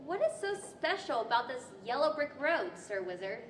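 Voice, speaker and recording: A woman's voice: high-pitched, big pitch swings, normal speed, faint music behind. A woman playing a polite, inquisitive young girl in an old radio-play style. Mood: curious. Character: curious, well-mannered young girl. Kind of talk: 1940s radio-style stage acting. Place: library stage, microphones on stands. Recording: echoey hall, faint noise.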